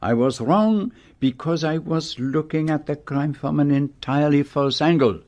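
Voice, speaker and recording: A man acting in a radio mystery drama as a shrewd, methodical detective who speaks precisely and deliberately, with theatrical pauses. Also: on the high side, darkish tone, lightly acted. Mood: measured. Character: shrewd, methodical detective explaining his solution.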